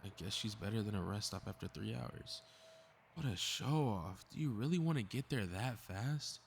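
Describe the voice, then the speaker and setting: A man's voice: fairly low pitch, expressive, normal speed, vocal fry, slight background noise. A man playing a cocky, snarky superhero in a scripted audio roleplay, muttering to himself with sarcastic exasperation. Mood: exasperated. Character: cocky, snarky, meddling invisible male superhero grumbling to himself.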